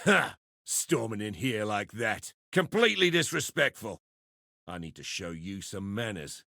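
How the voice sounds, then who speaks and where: Gravelly, cocky arena brawler. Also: male voice, fairly low pitch, big pitch swings, measured pace, pushed and bright, no room sound, studio quality, exaggerated voice. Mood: cocky, combative. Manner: taunting, punchy short barks. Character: cocky, menacing arena brawler relishing violence. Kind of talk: video game combat barks.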